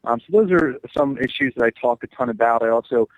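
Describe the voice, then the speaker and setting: An American man in his twenties giving earnest, somewhat halting interview answers as a political candidate. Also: mid-range voice, sing-song, creaky, no echo, phone-quality sound. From New Jersey, USA. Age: 20s. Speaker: congressional candidate. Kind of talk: interview answers, campaign talking points.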